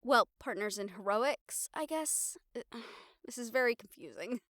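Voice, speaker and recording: A woman's voice: fairly high pitch, lively intonation, measured pace, fairly bright tone, no echo, studio quality. A woman playing a wry, daring young reporter in an intimate audio roleplay, talking to her partner in a sardonic, sighing, casually sweary way. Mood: wry, exasperated. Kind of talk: intimate audio roleplay acting, conversational monologue to the listener.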